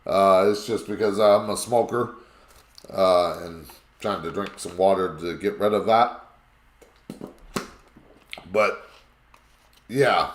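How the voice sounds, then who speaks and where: A man with a raspy voice hosting a live call-in talk show, talking in a rambling, emphatic way. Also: low voice, slow, long pauses, echoey room.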